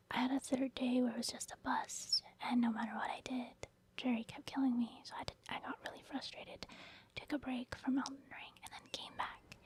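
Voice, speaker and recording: Female ASMR gaming host: whispered delivery, fairly flat intonation, informal, thinking-aloud commentary. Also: fairly high pitch.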